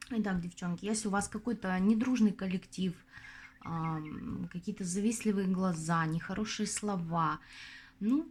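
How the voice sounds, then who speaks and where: A young adult woman hosting her own channel as a tarot reader, chatting casually to her viewers, her voice going hoarse. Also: medium pitch, a little mellow, a little hiss or hum. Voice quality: husky. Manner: chatty, confiding, addresses viewers as girls. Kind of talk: casual advice talk to viewers.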